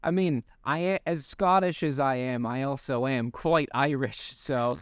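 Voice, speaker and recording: A young man co-hosting a casual podcast, animated and swearing freely, often restarting sentences. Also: mid-range voice, a little slow, clean tone, no room sound, studio quality. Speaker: podcast co-host. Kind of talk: casual chat.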